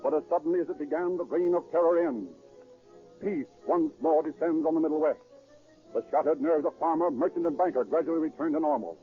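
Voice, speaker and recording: Grave, urgent male crime-drama narrator, played by an actor, higher-set voice, declamatory, music under a thin vintage radio sound. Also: fairly quick, natural voice. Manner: declamatory, florid, solemn. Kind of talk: 1930s radio acting, dramatic scripted narration. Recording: tinny 1930s radio broadcast, music behind.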